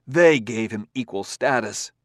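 A man's voice: mid-range voice, measured pace, dry recording, studio quality, lightly acted. A man voicing the inward thoughts of a troubled, self-doubting male detective, in an audiobook reading.

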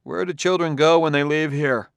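A man's voice: a little high, steady tone, moderate pace, gravelly, clean tone, dry recording, pitched above the performer's own voice. Uneasy careful detective guy, read by a man, slightly raised voice, dry close audiobook sound. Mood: wary. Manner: careful, hesitant questioning. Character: cautious, uneasy male detective from Earth. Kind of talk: audiobook character voice.

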